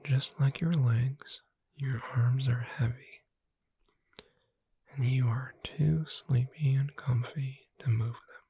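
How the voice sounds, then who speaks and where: A woman playing a gentle witch bookseller in a breathy, soothing, whispered ASMR sleep roleplay. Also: extremely low pitch, fairly even intonation, no echo, heavily acted. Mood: calm, soothing. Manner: slow, soothing, deliberate. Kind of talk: soft-spoken ASMR sleep roleplay, guided relaxation.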